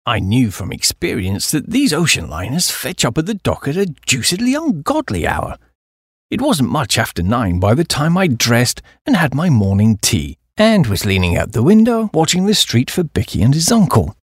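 Animated British man narrating, very varied pitch. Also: moderate pace, slightly rough, no room sound, clean studio sound. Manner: breezy upper-class chappie delivery. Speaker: audiobook narrator. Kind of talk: comic first-person story narration.

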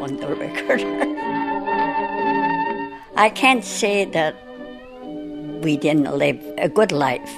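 A woman over a hundred years old, a native French speaker with an Acadian French accent, reminiscing in an unhurried interview and laughing between thoughts. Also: sits low. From northern Maine. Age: over 100.

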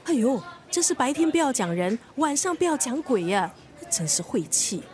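An actress playing a scornful, sharp-tongued family friend in a radio drama, sarcastic and blunt, with a Singaporean accent. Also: female voice, high voice, very animated, normal speed. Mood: scornful, scolding. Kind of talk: Mandarin radio drama acting.

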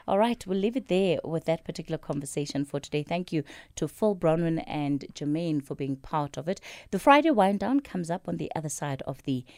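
A South African woman hosts a live radio talk show. Her voice is soft-edged and mellow, captured close and dry, as she moves between guests. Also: low-pitched, expressive, brisk, few pauses, dry recording. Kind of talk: live panel interview hosting.

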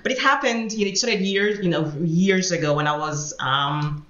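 A woman from Haiti, a guest attorney telling her life story in an unhurried, reflective way with small laughs between phrases. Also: on the low side, fairly bright tone. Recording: roomy echo, dull sound.

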